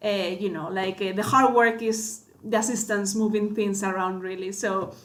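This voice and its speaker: A woman with a Spanish accent speaks as a guest in a casual panel talk. She talks haltingly, with many ums and repeated words as she gathers her thoughts. Her pitch sits in a middle range. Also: fairly even intonation. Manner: hesitant, many fillers and restarts. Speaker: cinematographer guest.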